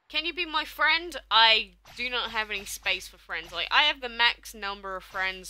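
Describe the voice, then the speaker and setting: A woman's voice: mid-range voice, pushed and bright, a little hiss or hum. A young woman, streaming a game live and chatting casually as she answers viewers' questions. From England. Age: young adult.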